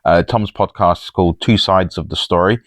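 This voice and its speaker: A man's voice: sits low, fairly quick, no room sound. A man hosting a podcast, speaking in casual chat and commentary. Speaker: podcast host.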